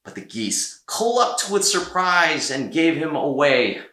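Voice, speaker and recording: A man narrates a children's read-aloud with expressive, dramatic pauses. His delivery is unhurried and very animated, with a bright tone in an echoey room. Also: on the high side. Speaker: storyteller.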